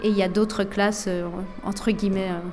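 A young woman, an illustrator, answering interview questions with many pauses, fillers and restarts. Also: mid-range voice, level tone, music in the background. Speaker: illustrator and author of books. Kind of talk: radio interview, spontaneous answers.

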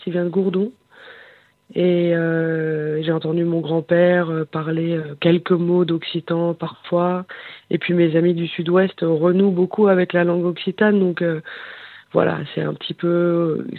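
This is a singer heard over a tinny, phone-like line with a dry sound and no room echo. The voice sits at a middle pitch. The singer talks haltingly, pausing often to search for words. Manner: hesitant, searching for words, many fillers. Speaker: singer and bandleader. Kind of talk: phone interview.

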